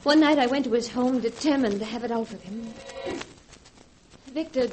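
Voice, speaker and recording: A woman's voice: on the high side, moderate pace, darkish tone, natural voice. An actress playing an earnest, conflicted young woman in a fervent 1940s radio drama style. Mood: grave, narrating. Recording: noisy vintage 1940s radio recording.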